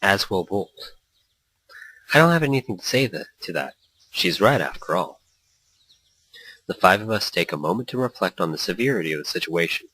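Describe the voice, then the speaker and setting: A man's voice: low voice, very animated, moderate pace, pauses often, sharp tone, breathy edge, dry recording, rough recording. A man hosting a gaming let's play, reading story text aloud with playful, rambling asides and small stumbles. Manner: playful, rambling, stumbles over read lines.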